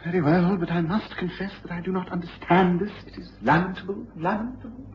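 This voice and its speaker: An actor playing a fussy, upright college tutor in a radio drama, formal, flustered and prone to stammer. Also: male voice, high-pitched, brisk, close to an everyday voice. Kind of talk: mid-century British radio drama acting. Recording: dull, noisy old radio recording.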